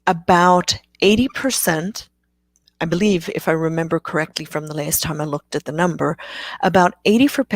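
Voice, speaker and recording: Woman, low voice, dry close sound, chatty live Q&A host, self-deprecating. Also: normal speed, no echo. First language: Afrikaans. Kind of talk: live-streamed Q&A talk.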